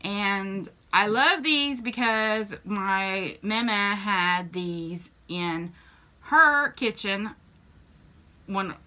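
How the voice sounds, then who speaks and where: A woman's voice: mid-range voice, animated, drawn-out words, sharp tone. Chatty woman showing a haul. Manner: chatty, homey, with frequent ums. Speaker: reseller showing a flea market haul. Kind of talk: casual show-and-tell vlog. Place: small room indoors with shelves.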